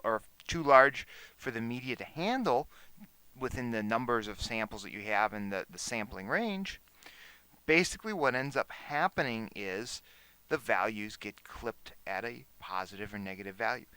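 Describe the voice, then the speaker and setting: A man speaking as an instructor, explaining a software demonstration in a measured, instructional way. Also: mid-range voice, smooth, no room sound, rough recording.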